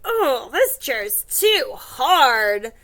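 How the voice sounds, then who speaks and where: A woman's voice: high voice, extremely slow, bright, forceful tone, heavily acted. A woman voicing a fussy, curious girl in a children's storybook, stretching her words out in an exaggerated way. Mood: complaining.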